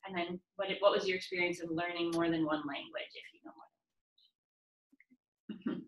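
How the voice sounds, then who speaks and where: A woman's voice: fairly low pitch, fairly even intonation, normal speed. Young woman moderator, echoey room. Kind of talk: reading out audience questions. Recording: roomy echo.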